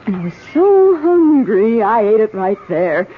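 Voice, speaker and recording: A woman's voice: fairly high pitch, sing-song, a little slow. A childlike, feverish wife with a volatile, ravenous craving, in old radio drama style. The sound is dull, with faint noise behind her voice. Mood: dreamy, coaxing. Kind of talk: vintage radio drama acting. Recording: dull, noisy old radio broadcast recording.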